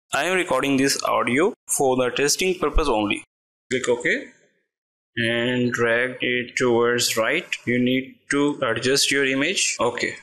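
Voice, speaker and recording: A man's voice: mid-range voice, sharp tone, rough recording. A man hosting a tutorial channel, walking through app steps in a plain instructional voice-over with slightly non-native English phrasing. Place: voice-over, not recorded in the pictured place.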